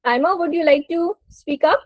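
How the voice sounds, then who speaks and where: A woman's voice: extremely high pitch, normal speed, darkish tone, dry recording. A woman teaching a spoken English lesson to a group on an online call, encouraging and prompting participants. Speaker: English teacher hosting a webinar.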